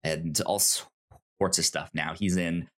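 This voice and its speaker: A man co-hosting a podcast, chatting casually about television in a halting way, often repeating words and stammering over them. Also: sits low, fairly even intonation, a bit bright. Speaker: podcast co-host. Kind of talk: casual conversational TV show discussion.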